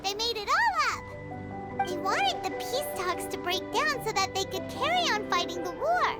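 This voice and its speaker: An actress voicing a tiny, excitable fairy companion who talks about herself in the third person, in a video game. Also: female voice, extremely high pitch, normal speed. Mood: indignant. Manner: speaks of herself in third person, eager exclamations. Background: game music.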